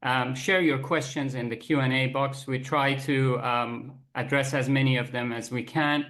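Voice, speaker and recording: A man moderating a panel discussion, speaking in a measured way with frequent fillers. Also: mid-range voice, steady tone, fairly bright tone.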